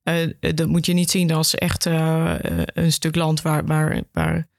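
A female podcast guest with a Netherlandic Dutch accent explains things conversationally. Her delivery is quite level in pitch, and the recording is close and dry. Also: level tone, unhurried, vocal fry, no echo. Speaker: education officer, podcast guest.

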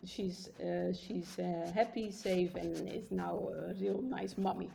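A woman's voice: fairly low pitch, fairly even intonation, takes it slowly, vocal fry, dark tone, a little hiss or hum. A Dutch-native woman co-hosting a podcast in a chatty, upbeat way. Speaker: podcast co-host. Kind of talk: casual podcast conversation.

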